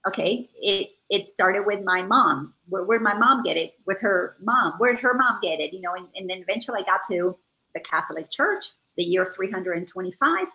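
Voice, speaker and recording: Gravelly-voiced earnest woman on a call. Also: mid-range voice, lively intonation, normal speed, bright, forceful tone, muffled recording. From Dominican Republic. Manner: earnest, searching, repeats words as she thinks. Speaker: guest. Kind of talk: casual spiritual chat.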